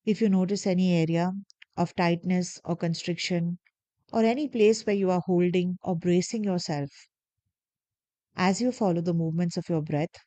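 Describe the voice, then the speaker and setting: A woman guiding a body scan meditation, giving slow, soft, calm instructions with long pauses. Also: lowish voice, no room sound. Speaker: meditation guide. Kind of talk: guided meditation.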